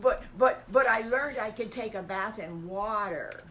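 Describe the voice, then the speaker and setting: A woman's voice: on the high side, lively intonation, a little slow, a bit bright, slight background noise. A woman voicing an eager, innocent young wolf boy in a children's story, with a childlike, earnest way of talking.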